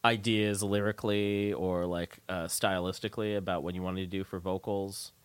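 A man's voice: low voice, flat delivery, normal speed, clean tone, dry recording. A man hosting a casual interview, putting tentative, loosely phrased questions to his guest. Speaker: radio show host, interviewer.

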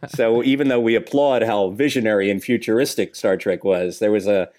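An older man in a casual, reflective panel discussion, speaking in a halting, thoughtful way. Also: fairly low pitch, fairly even intonation, a little mellow. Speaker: podcast panelist.

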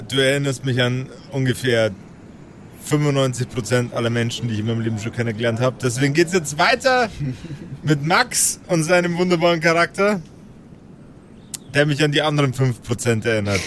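A man's voice: mid-range voice, normal speed, slight background noise. A man hosting a tabletop role-play casually as game master.

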